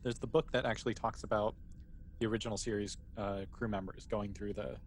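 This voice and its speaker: A man's voice: fairly low pitch, fairly even intonation, a little fast, a little hiss or hum. A man running a tabletop role-playing game as game master in a casual, conversational way.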